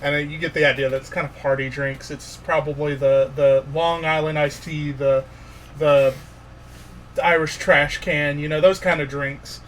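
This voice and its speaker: A man working as game master, narrating a tabletop fantasy game in an informal, halting way with pauses. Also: fairly high pitch, little pitch movement, fairly bright tone, slight background noise.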